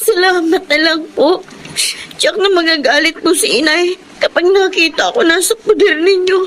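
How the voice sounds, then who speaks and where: A woman's voice: very high voice, fairly even intonation, moderate pace, fairly bright tone, a little hiss or hum. An actress playing a meek, weary young woman, hesitant and plaintive in radio melodrama style. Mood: meek, tearful. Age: young adult. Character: meek, weary, destitute young pregnant daughter. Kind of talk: Tagalog radio melodrama acting.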